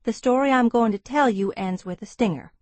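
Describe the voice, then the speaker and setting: A woman voicing a bold, persistent younger woman with crisp, businesslike speech in an audiobook. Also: fairly high pitch, normal speed, dry recording, lightly acted. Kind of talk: audiobook character dialogue.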